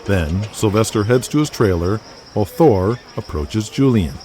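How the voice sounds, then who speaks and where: A man narrating an audio drama in present tense with vivid, deliberate storytelling. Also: on the low side, expressive, a little mellow, a little hiss or hum.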